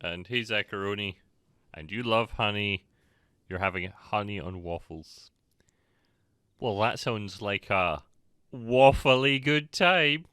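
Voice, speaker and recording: A man giving casual live-stream game commentary, halting and stammering as he playfully banters with chat. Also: fairly low pitch, pushed and bright, clean tone, dry recording, studio quality.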